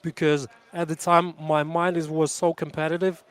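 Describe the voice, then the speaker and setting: A man's voice: a little high, little pitch movement, moderate pace, a bit bright, smooth. A man in his thirties, a jiu-jitsu coach, answering interview questions earnestly and reflectively, with frequent restarts. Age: 30s.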